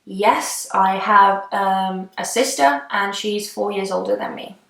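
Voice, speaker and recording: A young woman from Estonia, a native Estonian speaker, talks through a casual question-and-answer vlog. Her voice sits at an ordinary middle pitch, and she gushes about her favourites with many fillers and sentences that trail off. The sound carries a noticeable echo from the room. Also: takes it slowly, creaky, fairly bright tone. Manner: chatty, gushing, many fillers and trailing clauses. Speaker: beauty vlogger. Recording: echoey room.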